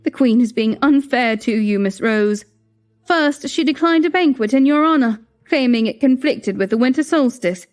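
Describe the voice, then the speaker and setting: A woman's voice: high-pitched, expressive, normal speed, darkish tone. A woman playing a loyal, gossipy young maid in an audio drama, emphatic and eager to please her mistress. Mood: indignant. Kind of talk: melodramatic audio drama acting.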